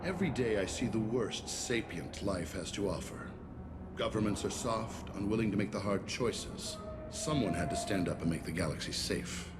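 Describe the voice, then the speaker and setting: Deep, stern alien prison warden. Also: male voice, expressive, moderate pace. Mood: firm, self-righteous. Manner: formal, authoritative, self-assured. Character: stern alien warden of a space prison ship, self-righteous, commanding. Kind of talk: video game voice acting. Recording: loud music behind the voice.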